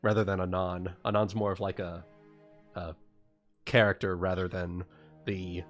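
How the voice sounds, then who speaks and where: Male livestream host, low-pitched, unhurried and halting, casual chat with music behind. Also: flat delivery. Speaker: livestream host and game master.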